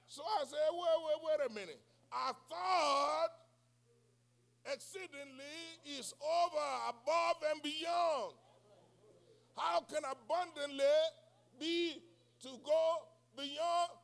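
A male pastor preaching a sermon, speaking English as a second language, who swings between soft, quiet passages and shouted, call-and-response preaching. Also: very high-pitched, vocal fry. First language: not English.

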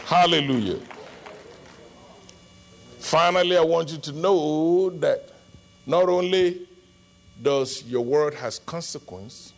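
A middle-aged Nigerian man, Yoruba his first language, preaching a sermon as a pastor with emphatic bursts into shouting. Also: on the high side, slow pace, long pauses.

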